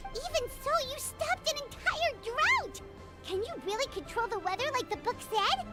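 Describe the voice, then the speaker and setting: A squeaky little floating fairy sidekick for a game, super high, childlike, bouncy pitch. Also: female voice, sing-song, normal speed, loud music behind the voice. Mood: amazed. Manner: refers to self in third person, perky. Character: tiny excitable floating fairy companion, childlike, talkative.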